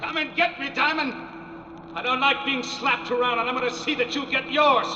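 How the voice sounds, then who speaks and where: An actor playing a cornered, wounded male killer, vengeful and defiant, shouting taunts in a strained voice in a 1940s radio drama. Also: very high voice, fairly even intonation, moderate pace, heavily acted. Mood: defiant, desperate. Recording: echoey, muffled old radio recording, faint noise.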